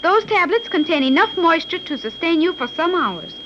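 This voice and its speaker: Brief: cool, exact scientific daughter, very high voice, formal delivery, muffled hissy old radio serial. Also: female voice, big pitch swings, normal speed. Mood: cool, instructive. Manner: formal, exact diction without contractions, didactic. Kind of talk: 1930s radio serial acting.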